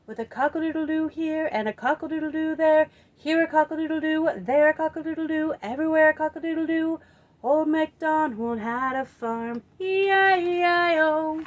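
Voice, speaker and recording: A woman presenting a children's storytime, singing and telling stories in a playful, expressive way. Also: high-pitched, highs cut off. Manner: playful, expressive, drawn-out emphasis.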